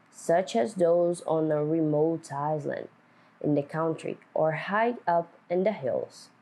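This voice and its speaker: A woman teacher reading a picture book aloud to children, speaking deliberately and putting simple questions to them. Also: lowish voice, warm tone, low-quality recording. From Brazil.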